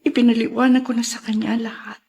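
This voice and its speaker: An actress playing a gravely ill, repentant and gentle mother in a melodrama, speaking haltingly and tearfully. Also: on the high side, flat delivery. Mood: weak, repentant. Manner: halting, emotional delivery. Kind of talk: Filipino melodrama acting.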